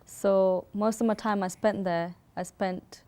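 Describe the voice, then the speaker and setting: A woman from Sri Lanka speaks as a guest in a casual interview. Her pitch is average for a woman, and she talks informally, sometimes reaching for the right word. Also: steady tone, measured pace, a little mellow. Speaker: interview guest, artist and photographer.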